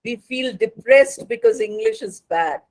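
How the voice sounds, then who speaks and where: A woman teacher with an Indian accent and Bengali mother tongue speaks in a fairly high voice at a slow, measured pace. She lectures learners in a didactic way, repeating points for emphasis. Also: wildly swooping pitch, vocal fry, a little mellow, poor audio. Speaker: spoken English teacher.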